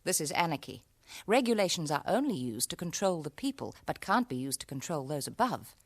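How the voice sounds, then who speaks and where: A woman's voice: low-pitched, very animated, brisk, full character voice. A woman playing a stern, earnest critic of those in power in a satirical radio drama, speaking emphatically. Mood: critical.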